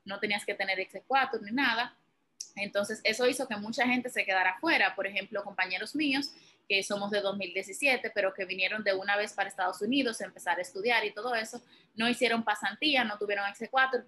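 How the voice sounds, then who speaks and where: A young woman with a Dominican Spanish accent talks as an interview guest, walking through her points one by one with plenty of fillers. Her voice is bright and forward, and she keeps an easy, informal tone. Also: medium pitch, a little hiss or hum. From Dominican Republic. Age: young adult. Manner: relaxed, informal, explains step by step with many fillers. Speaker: guest, medical resident. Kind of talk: interview answers.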